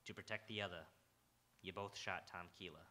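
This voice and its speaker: A man's voice: low-pitched, fairly even intonation, fairly quick, bright, forceful tone. A man playing a blunt, world-weary Broadway detective in an old-time radio crime drama, with terse, dramatic delivery on a live stage. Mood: grim. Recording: live stage recording with faint noise.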